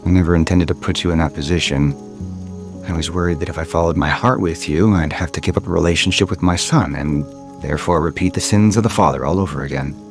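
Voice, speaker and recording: Very deep-voiced, wistful soap lead. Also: male voice. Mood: confessional. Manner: halting, gentle, earnest. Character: wistful thirty-eight-year-old father, tender with old love. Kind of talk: audio soap opera acting. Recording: music behind the voice.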